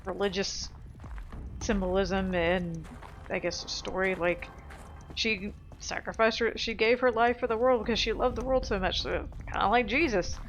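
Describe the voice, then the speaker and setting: A woman's voice: mid-range voice, moderate pace, lo-fi sound, slight background noise. A woman hosting a let's play with casual, rambling, self-deprecating game commentary. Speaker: gamer, let's play host.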